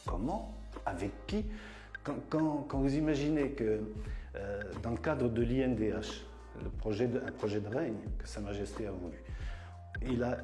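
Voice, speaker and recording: A man's voice: medium pitch, normal speed, warm tone, faint music behind. Emphatic man in an echoey room. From France. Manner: emphatic, repeats words for effect. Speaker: association leader. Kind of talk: interview monologue.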